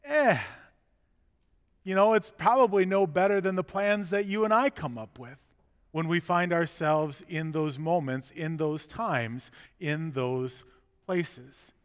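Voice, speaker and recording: A man's voice: a little high, takes it slowly, clean tone. A middle-aged man preaching a sermon as pastor, conversational and full of rhetorical questions, walking and gesturing inside a large church sanctuary. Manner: gestures while walking about the chancel. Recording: long reverb of a church hall.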